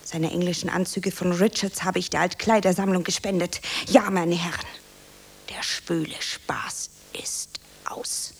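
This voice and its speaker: A woman playing an eager, anxious young beginner actress in a radio play, earnest and theatrical, with dramatic pauses. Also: on the low side, steady tone, creaky. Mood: tragic, declaiming. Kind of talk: German radio play acting. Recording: roomy, faint hiss.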